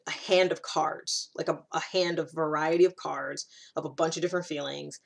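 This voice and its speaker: A woman with a fairly low voice talks in a casual, explanatory monologue. She works through her ideas aloud in a conversational manner, putting emphasis on key words as she goes. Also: fairly even intonation, moderate pace, gravelly. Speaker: personality typing vlogger.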